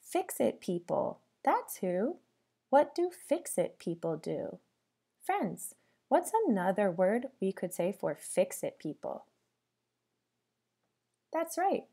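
Female teacher for children's read-aloud: mellow tone, lively sing-song intonation, addresses kids directly with questions. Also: medium pitch, slow pace, creaky, pauses often, slightly rough. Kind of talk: interactive storybook read-aloud for young children.

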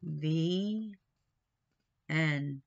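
A middle-aged woman reading a children's picture book aloud expressively, pausing for questioning asides to young listeners. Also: low voice, creaky, highs cut off. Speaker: storybook reader. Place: small wood-panelled room indoors.

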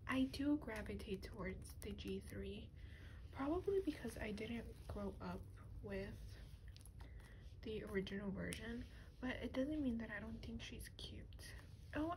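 A woman speaking softly in a breathy, often whispered voice, gently and hesitantly showing items in a rambling ASMR style. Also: medium pitch. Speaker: ASMR doll reviewer. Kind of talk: soft-spoken ASMR, rambling show and tell. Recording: clearly noisy lo-fi home recording.

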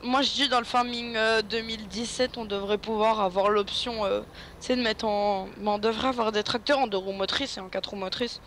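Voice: medium pitch, steady tone, brisk, fairly bright tone. Playful, rambling co-player with slight hiss. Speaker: guest co-player. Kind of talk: casual co-op gameplay chat.

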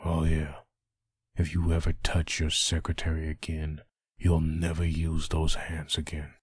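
Brooding undead antihero: extremely low, gravel-rough, menacing, haunted comic-book grit. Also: male voice, completely flat delivery, no echo, studio quality, heavily acted. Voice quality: deep, raspy. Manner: slow, grim delivery. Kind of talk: comic dub voice acting.

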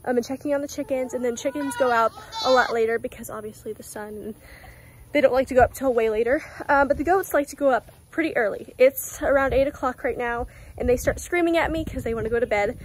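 A young woman with a Southern US accent, talking casually through a how-to farm vlog, full of "um"s and soft, soothing words to her animals. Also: high voice, quick pace, slight background noise. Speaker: vlogger caring for farm animals. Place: outdoors on a farm by animal pens. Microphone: phone camera held close, selfie framing.

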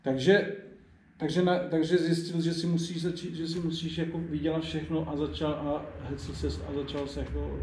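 A man's voice: a little high, flat delivery, a little mellow. A man in his thirties asking questions in a casual, chatty interview style. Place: indoor spray-paint shop, at a table. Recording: roomy, slightly distant, faint noise.

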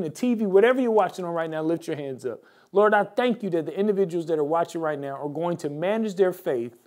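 A man's voice: high-pitched, darkish tone. An American man preaching a mid-week sermon as a pastor, exhorting his listeners directly and repeating key phrases for emphasis. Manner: exhorting, addresses viewers directly. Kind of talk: mid-week church sermon with prayer and scripture.

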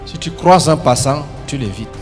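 A man's voice: fairly high pitch, animated, normal speed. A middle-aged man preaching on stage into a handheld microphone, in short phrases left for an interpreter. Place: large convention hall, on stage. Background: music.

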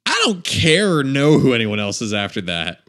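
A man's voice: medium pitch, wildly swooping pitch, normal speed, fairly bright tone. A man co-hosting a casual film review podcast, dry and sarcastic. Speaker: podcast co-host.

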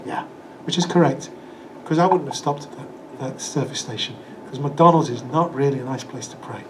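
A man's voice: mid-range voice, a little fast, noisy background. An older man teaching a religious class in a small hall, chatty and acting out examples, speaking into a handheld mic. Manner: conversational, acts out excuses in quoted voices. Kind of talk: lecture with audience questions. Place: small hall with projector screen. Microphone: handheld microphone.

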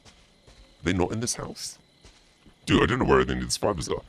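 A man commentating on a game in a theatrical, mock-menacing, sweary way, his voice pitched down by a voice changer. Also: very animated, fairly quick. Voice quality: deep, gravelly, through a voice changer. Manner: theatrical mock-menacing taunts mixed with muttering. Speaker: streamer playing a game as the killer.

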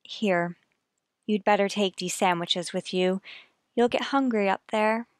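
A woman plays a devoted wife who knows more than she says in a radio mystery. Her voice is mid-pitched, tender and insistent, recorded dry. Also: unhurried, no room sound, lightly acted. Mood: tender, foreboding. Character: devoted, knowing wife with a veiled secret. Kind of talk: radio mystery drama acting.

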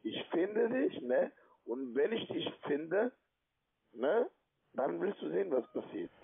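A man's voice: a little high, sing-song, takes it slowly, vocal fry, husky. A man, a call-centre caller who talks in a pushy, confrontational way. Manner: pushy, aggressive. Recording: narrowband phone line.

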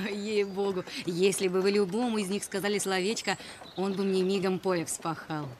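A woman playing a folksy, warm-hearted country gossip in a dubbed film drama, with a chatty, colloquial way of talking. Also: mid-range voice, steady tone, moderate pace, slight background noise, close to an everyday voice. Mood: teasing, amused.

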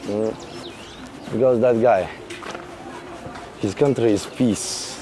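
A young man in his twenties from Somalia, speaking halting English with a Somali accent in a street interview, switching to quick Somali. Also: lowish voice, steady tone, background noise. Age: 20s. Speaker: musician guest. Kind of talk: street interview, casual chat. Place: city street outdoors.

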